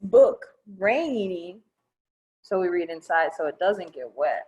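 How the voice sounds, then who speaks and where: Slow, very animated woman reading to children. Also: on the low side. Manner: expressive, simple explanations aimed at young children. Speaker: children's librarian, storytime presenter. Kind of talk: children's storytime read-aloud.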